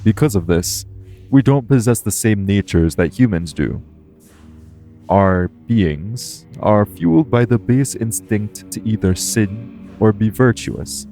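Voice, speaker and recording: A man playing a calm, protective guardian angel in an audio roleplay, speaking in a formal, measured, earnest way. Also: lowish voice, soft background music. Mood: solemn, explaining. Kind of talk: audio roleplay acting, addressed to the listener.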